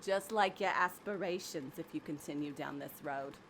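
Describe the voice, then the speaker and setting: A woman's voice: low-pitched, moderate pace. A woman voicing a brash, scornful, persuasive magic hat in an audio drama, sardonic and theatrical. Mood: mocking, scornful. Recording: faint background noise.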